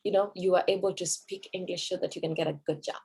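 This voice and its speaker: A woman's voice: fairly low pitch, steady tone, quick pace, creaky, a little mellow, breathy edge. A Kenyan woman whose first language is Kikuyu, giving a conversational talk at a cultural event as a Swahili teacher, with fillers and self-corrections.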